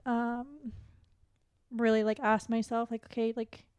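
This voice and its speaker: A woman guest on a podcast, an athlete and coach, talking candidly and casually in a halting, reflective way full of "like". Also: fairly high pitch, fairly even intonation, a little mellow, smooth, no echo. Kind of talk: candid casual interview chat.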